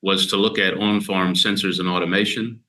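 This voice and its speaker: American guy in his 50s, really low voice but bright, chatty science explainer. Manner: steady, measured, explains in detail with frequent "uh" pauses. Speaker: guest dairy scientist. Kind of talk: casual explanatory interview talk.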